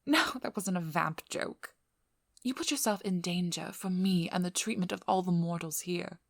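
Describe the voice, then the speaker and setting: Female vampire hunter, played by a woman, ASMR audio drama: firm, protective, dryly wry. Lowish husky voice, measured delivery falling often to a whisper. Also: dry recording, studio quality. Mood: earnest, wry. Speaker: ASMR role-play performer. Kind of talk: intimate ASMR role-play acting. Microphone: close microphone.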